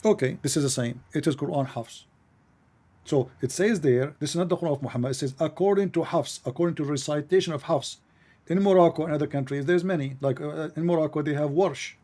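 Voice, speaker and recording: Insistent host with an Arabic-native English. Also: male voice, a little high, fairly quick, dark tone. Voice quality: non-native English grammar. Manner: pressing, repeats his questions insistently. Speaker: call-in show host. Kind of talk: heated call-in debate.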